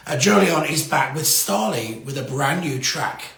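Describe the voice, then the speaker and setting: A male interview host speaks in a gravelly voice pitched a little high. He is effusive and casual as he runs a live online show, and the room around him rings with clear echo. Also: expressive, measured pace, a bit bright. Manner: effusive, exclaiming. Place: indoors, live social media stream.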